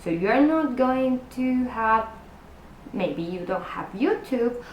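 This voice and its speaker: A woman from Buenos Aires, a native Spanish speaker with an Argentine accent, talks viewers through her packing tips in a chatty, roundabout way. Her voice sits a little high and she takes her time, in a room with a noticeable echo. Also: animated, slow pace, muffled recording, slight background noise. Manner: chatty, restarts and self-corrections, direct address to viewers. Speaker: cruise vlogger. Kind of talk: casual packing-tips vlog.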